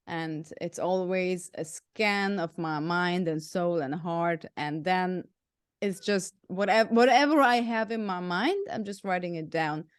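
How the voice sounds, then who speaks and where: A woman's voice: fairly low pitch, measured pace, clean tone, dry recording, clean studio sound. Hungarian-German accented woman, giggly. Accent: mix of Hungarian and German. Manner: laughs often, lots of "like". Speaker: guest musician. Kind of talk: casual interview chat.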